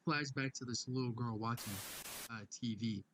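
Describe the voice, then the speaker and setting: A man's voice: mid-range voice, steady tone, normal speed, noisy background. A man doing a casual comic read-along commentary in a profane, slangy way.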